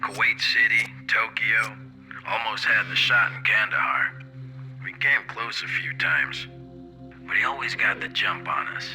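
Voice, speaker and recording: Sharp, low-voiced seasoned soldier. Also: male voice, fairly even intonation, unhurried, faint music behind. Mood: grim, rueful. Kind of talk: dramatic game cutscene acting.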